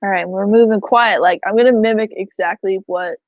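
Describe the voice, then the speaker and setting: A woman at mid pitch plays her character with playful, self-mocking humor. The audio is dull and low fidelity, but dry and close. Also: fairly quick, no room sound. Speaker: player. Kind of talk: tabletop game chat.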